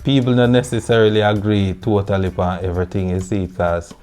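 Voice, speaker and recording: A Jamaican man hosts a casual talk show in easygoing patois. His voice sits low, and he often tags his sentences with a questioning "you see it". Faint music plays under the talk. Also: little pitch movement, fast talker, a little mellow, clear, resonant tone. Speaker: podcast host. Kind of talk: casual chat.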